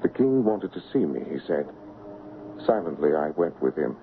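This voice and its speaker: An actor playing an honorable, lovesick stand-in king in a radio drama, speaking and narrating in an earnest, formal, measured way. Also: rumbling low, sing-song, a little hiss or hum, heavily acted. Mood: sorrowful. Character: lovesick honorable English royal stand-in, noble and troubled. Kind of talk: radio drama acting and first-person narration. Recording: muffled vintage radio broadcast, music behind.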